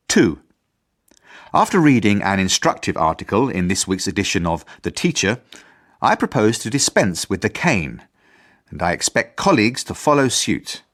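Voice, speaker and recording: A man's voice: on the low side, a bit bright. A man acting an earnest, fussy British headmaster in a radio drama, formal and self-important as he narrates his journal. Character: earnest, idealistic, fussy male school headmaster. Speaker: actor.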